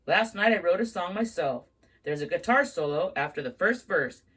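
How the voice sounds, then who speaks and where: A woman reading aloud, voicing a brash older male studio boss who talks gruffly and snaps when crossed. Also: on the low side, animated, normal speed, a bit bright, poor audio. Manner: gruff, blunt, snappy.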